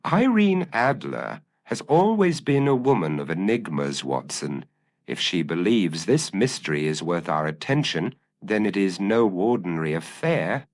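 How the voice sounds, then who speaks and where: A man's voice: low voice, big pitch swings, a little slow, full character voice. A man voicing a brilliant, intense Victorian detective in an audiobook, speaking in a precise, commanding and formal way. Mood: determined.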